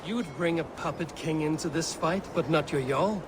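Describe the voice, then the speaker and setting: A man playing a stern, forthright Viking warrior in a dramatic video game, speaking in a measured, blunt way. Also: fairly high pitch, noisy background, close to an everyday voice. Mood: stern, disapproving.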